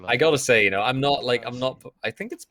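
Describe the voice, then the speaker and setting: A man's voice: mid-range voice, fast talker, a bit bright. A man commentating an online shooter match, friendly and easygoing, apologizing for mangled player names. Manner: friendly, laughs easily, apologetic about pronunciation. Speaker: esports co-caster. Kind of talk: esports color commentary. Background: players' voice chat in another language.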